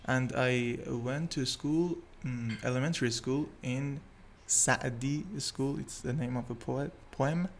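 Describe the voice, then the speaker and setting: A man's voice: medium pitch, steady tone, slow, few pauses. A man in his twenties from Tehran with an Iranian accent, a native Persian speaker answering interview questions hesitantly in a second language. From Tehran, Iran. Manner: hesitant, searching for English words, nervous. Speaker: interview guest. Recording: noisy background, poor sound quality.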